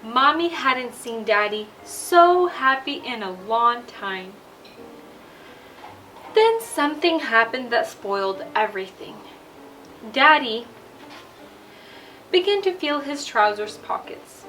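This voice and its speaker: A woman reading a children's bedtime story aloud in a deliberate, expressive storybook delivery. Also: a little high, a little hiss or hum, poor audio. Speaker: storyteller and show host.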